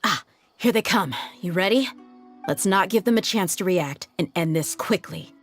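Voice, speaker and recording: A woman voicing a tough, confident, blunt female mercenary in a video game, with a brisk, cocky manner. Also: lowish voice, lively intonation, soft background music. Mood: focused, confident. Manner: brisk, cocky, casually menacing.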